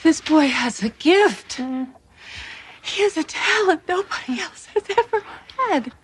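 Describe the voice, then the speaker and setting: An actress playing an earnest, concerned woman trying to help a child in a television drama, urgent and often hushed. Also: very high-pitched, expressive, a little slow, a little hiss or hum. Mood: hushed awe.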